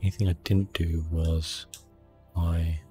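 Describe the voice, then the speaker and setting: A man giving soft, hushed ASMR gameplay commentary in a relaxed, unhurried manner. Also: deep voice, soft background music. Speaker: gaming streamer, host.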